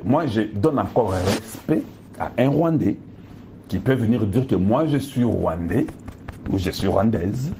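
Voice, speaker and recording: A man's voice: low voice, background noise. A man from Congo with a Congolese French accent, giving an impassioned political monologue to viewers, emphatic and full of pointed rhetorical questions. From Democratic Republic of the Congo. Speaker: political commentator.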